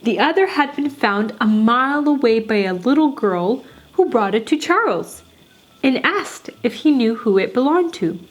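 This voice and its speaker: A woman hosting a children's story show, reading expressively and deliberately with dramatic pauses for young listeners. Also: fairly high pitch, expressive. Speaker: host and storyteller.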